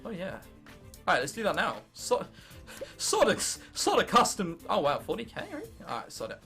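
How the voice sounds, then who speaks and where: A teenage man from England with an English accent, commentating on gameplay and thinking aloud in an excitable, sweary, self-mocking way. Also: high-pitched, normal speed, soft background music. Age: late teens. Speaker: gaming video host.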